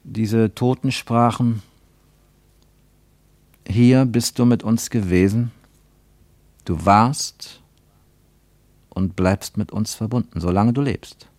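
A man reading a scripted literary narration for a radio play in a measured, deliberate way. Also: lowish voice, steady tone, darkish tone, no room sound.

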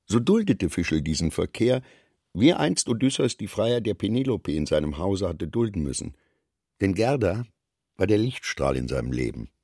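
A man reading scripted literary narration for a radio play in a measured, expressive way. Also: low voice, no room sound, clean studio sound.

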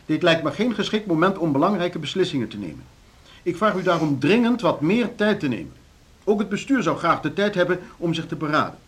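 An actor playing a proud, middle-aged board chairman in a radio drama, speaking in formal, measured oratory. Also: on the high side, very animated, breathy edge. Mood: affronted, urgent. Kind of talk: Dutch radio drama acting.

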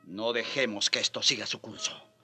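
A man's voice: fairly low pitch, moderate pace, sharp tone, slightly rough, soft background music, exaggerated voice. An actor playing an indignant, aging Spanish friar in a radio drama, earnest, reproachful and impassioned as he pleads for justice. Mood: pleading.

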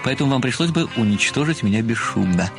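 A man's voice: medium pitch, expressive, fast, gravelly, over music. An actor playing an earnest, self-satisfied amateur sleuth in an audio drama, admiring yet pedantic, formal and precise in his address. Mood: smug, methodical. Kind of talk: theatrical audio drama dialogue.

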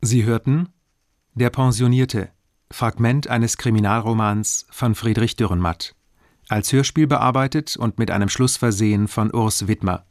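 A man reads formal radio credits as an announcer. His mid-pitched voice is neutral and slow, recorded very dry. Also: dry recording. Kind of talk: formal scripted closing credits.

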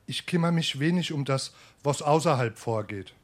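A man's voice: fairly high pitch, lively intonation, moderate pace. An actor playing a humble, worried tradesman in a Darmstadt dialect comedy, polite, hesitant and plaintive. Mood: meek, plaintive. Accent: Hessian, Darmstadt dialect. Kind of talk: dialect stage comedy acting.

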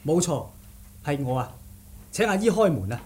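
Mellow-voiced, determined young swordsman. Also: fairly high pitch, sing-song, normal speed, slightly rough. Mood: earnest, pressing. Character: determined, sardonic young martial-arts nephew seeking the truth. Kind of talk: Cantonese radio drama acting.

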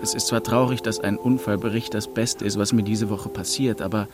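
A man's voice: on the low side, fairly even intonation, brisk, over music. A man reading an audiobook in the first person as a jaded teenager, sardonic and terse, with faint noise behind. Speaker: audiobook narrator.

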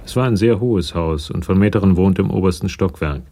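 A man's voice: low voice, brisk, noisy background. A man reading scripted literary narration for a radio crime drama.

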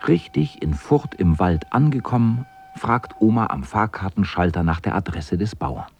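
A man narrating a radio drama as a storyteller, with a gravelly, husky voice. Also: lowish voice, lively intonation, normal speed, a little mellow, a little hiss or hum.

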